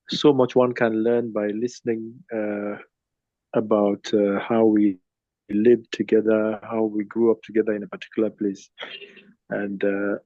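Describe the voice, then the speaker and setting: Low, even-toned man in his fifties. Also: no room sound. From Sri Lanka, later Nigeria. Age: 50s. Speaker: speaker at a cultural talk. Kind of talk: informal online presentation with slides. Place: online video call. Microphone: phone. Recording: dull lo-fi phone call audio.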